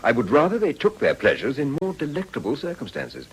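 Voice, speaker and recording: Male, husky, upper-class English, ambitious politician, formal, polished, lively intonation, period radio drama. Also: medium pitch, a little fast, low-quality recording. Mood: smooth, defensive. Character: ambitious, self-assured gentleman politician.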